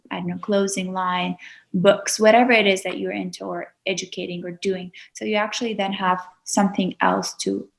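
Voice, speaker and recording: A woman's voice: medium pitch, creaky. A woman from Azerbaijan with an Azerbaijani accent, speaking earnestly as a guest on a podcast interview over a video call. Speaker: guest YouTuber.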